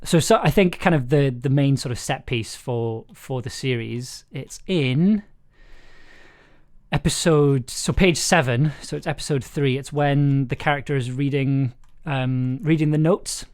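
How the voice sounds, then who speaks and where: A man with a mid-pitched voice talks casually through a script as its director. He thinks aloud, halting and repeating words while he works out his explanation. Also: darkish tone. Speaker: audio drama writer and director hosting a livestream.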